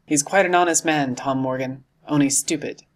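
A man voicing a cheerful but cunning one-legged sailor and tavern landlord in a storybook, with hearty, forceful, salty nautical talk. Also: on the high side, normal speed, natural voice. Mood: confiding, excited.

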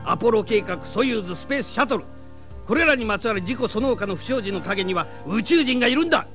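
Zealous, high-pitched gravelly commander. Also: male voice, animated, quick pace, fairly bright tone, faint music behind. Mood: grave, declaiming. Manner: grandiose, declamatory. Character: stern, zealous male commander of secret space force. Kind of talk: dramatic audio drama acting.

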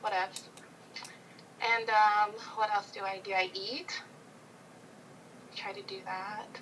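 A woman's voice: mid-range voice, little pitch movement, slow pace, fairly bright tone, a little hiss or hum, low-quality recording. A woman guest on a casual video call, chatting about improv in a bubbly, playful way with frequent giggles.

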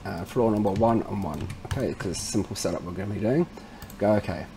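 A man presenting a casual step-by-step software tutorial in an informal way, addressing viewers as guys. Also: lowish voice, fast, background noise. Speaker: tutorial presenter, installer trade.

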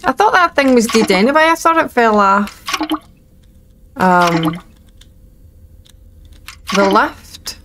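A woman's voice: on the high side, sing-song, slow pace, pauses often, noisy background. A Scottish woman in her thirties giving chatty, wry, informal commentary as she plays a video game. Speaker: gaming video host. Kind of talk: casual let's play commentary.

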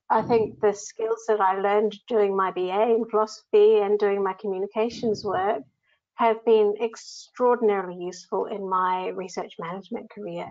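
A woman with a New Zealand accent, a grants manager giving a conversational webinar talk over a video call. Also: mid-range voice. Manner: conversational, with frequent ums. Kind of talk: presenting slides in a webinar. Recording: slightly dull video call audio.

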